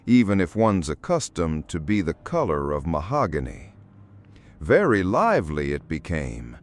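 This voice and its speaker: A man with a fairly low voice reads a fairy tale as an audiobook narrator. His delivery is slow and measured, with extremely animated, sweeping intonation that brings the storytelling to life.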